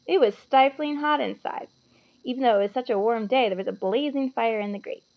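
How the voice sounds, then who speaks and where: A woman reading a children's novel aloud as an expressive storyteller. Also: a little high, brisk, muffled recording. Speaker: storybook reader.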